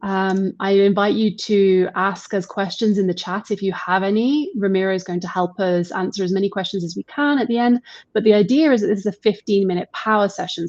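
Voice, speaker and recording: A woman's voice: mid-range voice, darkish tone, dry recording, dull audio. Upbeat woman hosting a live session. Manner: upbeat, chatty, laughs easily. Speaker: host and marketer. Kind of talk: live online interview.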